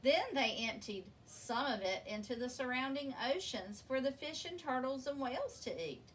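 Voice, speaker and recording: A woman reading a children's picture book aloud in an expressive, deliberate way, drawing out words for emphasis. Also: high voice. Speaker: storybook reader. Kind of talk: read-aloud children's story narration. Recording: distant mic with faint background noise.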